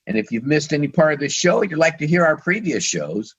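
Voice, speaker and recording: A man's voice: fairly high pitch, sing-song, fairly quick, fairly bright tone, breathy edge. A man co-hosting a radio talk show, losing his voice and talking hesitantly with fillers. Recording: dull lo-fi sound.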